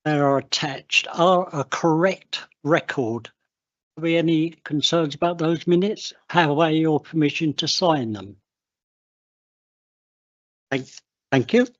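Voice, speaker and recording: An English man chairing a council meeting, speaking slowly and haltingly with many uhs. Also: a little high. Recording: dull lo-fi council livestream audio.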